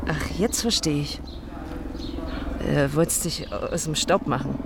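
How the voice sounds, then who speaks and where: A woman's voice: low-pitched, measured pace, vocal fry, heavy background noise. An actress playing a blunt, slangy young woman who is hurt and cutting toward her boyfriend in a naturalistic radio drama. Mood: indignant, sarcastic. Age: young adult.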